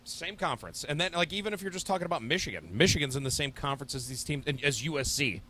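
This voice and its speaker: A man's voice: a little high, lively intonation, fast talker, bright, forceful tone, a little hiss or hum. A man co-hosting a podcast, chatting casually about football with dry asides. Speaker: podcast co-host. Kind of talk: casual sports chat. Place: sports bar.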